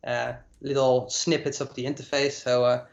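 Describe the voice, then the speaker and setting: A Dutch man in his late twenties talks through his story as a class guest, halting on fillers and repeating himself. His voice sits at a middling pitch. It comes through roomy and a little muffled, as if over a call. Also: level tone, vocal fry. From Netherlands. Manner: hesitant, many uh fillers and repeated words. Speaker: guest compositing artist. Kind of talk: casual talk about his career. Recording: echoey, dull lo-fi sound.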